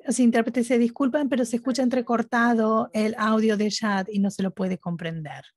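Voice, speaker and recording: A woman giving live interpretation at a webinar, speaking haltingly phrase by phrase. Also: fairly high pitch, fairly even intonation, a little mellow, no room sound, soft background music. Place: remote online conference audio channel.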